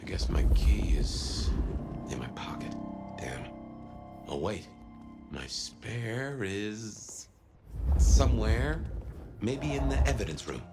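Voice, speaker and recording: A man voicing a gruff, world-weary detective who guides and cautions in a video game, murmuring and laconic. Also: lowish voice, big pitch swings, slow, pauses often, heavily acted. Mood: musing. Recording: loud music underneath.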